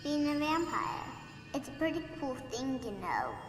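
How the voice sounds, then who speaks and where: A woman playing a coy, slightly eerie vampire enthusiast in a fantasy game, with a drawn-out delivery. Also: high voice, huge pitch swings. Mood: wistful, coy. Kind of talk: fantasy game character acting. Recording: distant, echoey hall with music behind.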